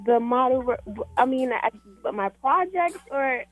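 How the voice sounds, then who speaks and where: Casting: female interview guest, Trinidadian accent, higher voice, casual and halting delivery, music under it. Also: lively intonation, low-quality recording. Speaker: guest, fashion model. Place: radio studio. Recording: music playing behind.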